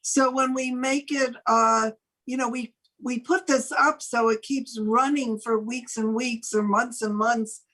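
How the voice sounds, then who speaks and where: A woman's voice: a little high, steady tone, unhurried, fairly bright tone, poor audio. An older woman speaking casually as the host of a talk show, interviewing a guest.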